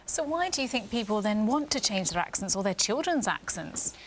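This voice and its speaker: A woman's voice: medium pitch, big pitch swings, fairly quick, a little hiss or hum, poor audio. A woman co-presenting a regional evening news programme, reading links and asking a guest questions.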